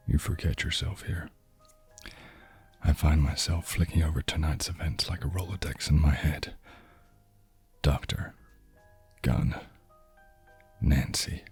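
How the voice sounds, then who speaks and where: A man plays a cynical, hard-boiled noir detective who murmurs slowly in a very deep, husky voice. His brooding half-whisper drifts along unhurried. Soft music plays underneath. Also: heavily acted. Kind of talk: ASMR noir audio drama narration.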